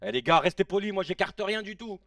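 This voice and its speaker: A man in his late thirties hosting a casual live stream, reacting excitedly to a football match in slangy chat and shouting at the players. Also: sits high, a little fast, dry recording, clean studio sound, distant mic. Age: 30s. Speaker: live-stream watch-along host.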